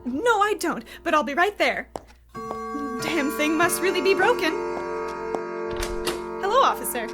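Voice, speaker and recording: A woman's voice: very high voice, very animated, measured pace, long pauses, loud music behind the voice, heavily acted. A woman playing a paranoid, scatterbrained shut-in who frets and talks to herself in an old-time radio comedy. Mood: nervous, evasive. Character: paranoid, unhinged shut-in woman talking to her potato.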